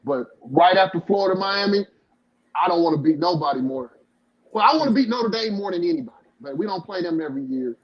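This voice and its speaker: A man's voice: high-pitched, lively intonation, brisk, highs cut off, over a noisy crowd. A man, co-hosting a casual sports debate, repeating his points with insistence. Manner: emphatic, repetitive, insistent. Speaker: podcast co-host.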